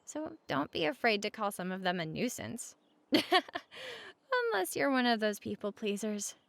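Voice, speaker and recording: A woman playing a caring, teasing roommate in a comfort audio roleplay, speaking casually and candidly with a creaky voice at regular volume. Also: medium pitch, lively intonation, moderate pace, no echo.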